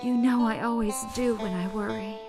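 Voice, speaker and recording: A woman's voice: fairly high pitch, steady tone, moderate pace, music in the background, natural voice. A woman playing a caring, worrying but firm motherly wife in an audio drama. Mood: worried.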